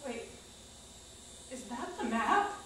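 A woman's voice: high-pitched, animated, takes it slowly, pushed and bright. A woman reading a personal story aloud from a script on a small stage. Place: small indoor theater stage. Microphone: far camera microphone. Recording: echoey distant stage recording, faint noise.

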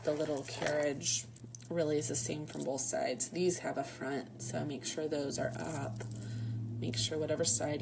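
Young woman rambling to camera at home, low voice, lots of fry, noisy recording. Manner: chatty, rambling, lots of fillers and soft half-whispered asides. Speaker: lifestyle vlogger. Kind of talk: casual unboxing chat to camera. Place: home room, indoors.

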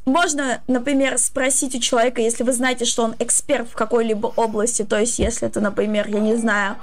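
A young woman in her twenties talks with a high voice. She explains her advice casually, with a hesitant, halting delivery. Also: little pitch movement. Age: 20s. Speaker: streamer giving advice.

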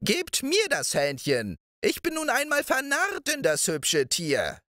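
A man's voice: extremely high pitch, wildly swooping pitch, slow, bright, forceful tone, no room sound, studio quality, voice pushed up, heavily acted. A man voicing a wheedling old witch of the moor in a storybook reading, coaxing and sing-song.

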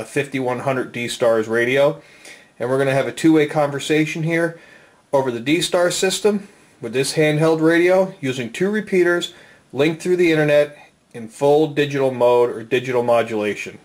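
A man with a medium-pitched voice presents a hobby tutorial. He explains things plainly and methodically. The room adds clear echo to his voice. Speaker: amateur radio demonstrator and presenter. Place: indoors at home, roomy. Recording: roomy, echoing home room.

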